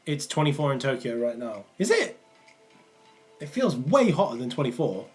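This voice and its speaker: A man's voice: mid-range voice, big pitch swings, normal speed, soft background music. Rambling young Mancunian man streaming. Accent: Mancunian, partly worn off. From England, Manchester area. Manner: rambling, many ums and restarts. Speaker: livestream host answering chat. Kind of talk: casual live stream chat. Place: small room indoors.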